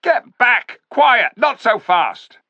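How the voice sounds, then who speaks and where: A man's voice: high voice, very animated, unhurried, vocal fry, no echo, pitched above the performer's own voice, full character voice. A man voicing a sly, bossy male ape who mocks and jeers at the crowd in a storybook reading. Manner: mocking, jeering, cackling. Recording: dull lo-fi recording.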